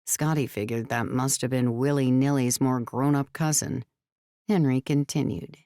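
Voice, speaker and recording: A woman's voice: very deep voice, steady tone, moderate pace, mellow, no room sound, clean studio sound. A woman reading scripted story narration as an audiobook narrator.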